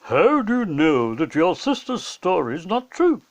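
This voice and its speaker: A wise, gently firm male professor from a children's tale, acted by a man. He reasons in a measured, questioning way, his intonation swinging widely. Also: sits high, huge pitch swings, heavily acted. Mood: reasoning. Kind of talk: children's storybook character voice.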